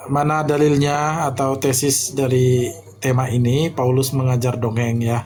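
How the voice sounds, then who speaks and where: A man's voice: medium pitch, little pitch movement, unhurried, a little mellow. A man calling into a live debate stream, skeptical and questioning, demanding evidence.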